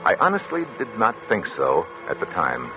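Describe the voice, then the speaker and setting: An actor playing a grave, protective physician husband with formal, measured delivery in 1940s radio style. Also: male voice, sits low, huge pitch swings, over loud music. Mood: measured, foreboding. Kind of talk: 1940s radio acting, dramatic narration. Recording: muffled, hissy 1940s radio recording.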